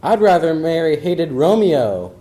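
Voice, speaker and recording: Puppet-show teenage heroine acted by a man: lovestruck, theatrical, raised pitch, big swoops. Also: sing-song, unhurried, mellow, echoey room. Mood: defiant.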